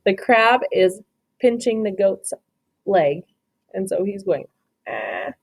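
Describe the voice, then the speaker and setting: A woman reading a children's picture book aloud as storyteller, expressive, with chatty asides that point things out and question her listeners. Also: mid-range voice, takes it slowly, breathy edge.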